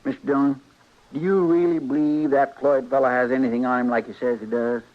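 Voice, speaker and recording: An actor playing a folksy, fretful, talkative frontier deputy with rustic phrasing in a 1950s radio western. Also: male voice, medium pitch, little pitch movement, a little fast, close to an everyday voice. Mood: curious, fretful. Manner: rustic drawling turns of phrase. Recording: dull old radio recording, faint noise.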